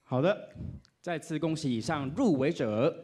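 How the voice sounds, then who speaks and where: A man's voice: on the high side, expressive, unhurried, darkish tone. A man with a Taiwanese Mandarin accent hosting a live ceremony with upbeat, teasing banter. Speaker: radio host co-announcing award nominations.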